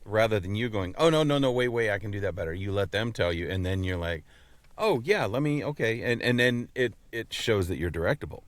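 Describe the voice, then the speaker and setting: A man's voice: fairly low pitch, lively intonation, a little fast, no echo. A man co-hosting a podcast, speaking in casual chat. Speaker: podcast co-host.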